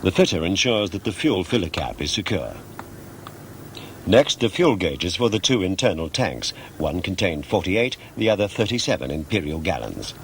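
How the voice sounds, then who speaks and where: Low-voiced male documentary narrator. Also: very animated, moderate pace, slight background noise. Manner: formal, precise, explanatory. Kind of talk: scripted narration, measured and factual.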